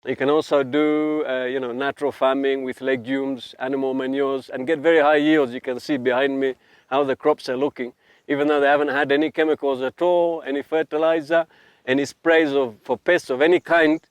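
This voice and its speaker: A man's voice: mid-range voice, steady tone, fairly quick, smooth, dry recording. A man explaining natural farming in a casual interview, outdoors beside crops. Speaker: farming advocate.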